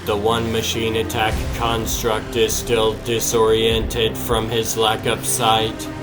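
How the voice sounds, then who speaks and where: A man's voice: on the low side, monotone, over music. A man voicing a composed, analytical robot advisor in a comic dub, speaking precisely and without emotion. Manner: precise, measured, unemotional. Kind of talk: dramatic comic dub acting.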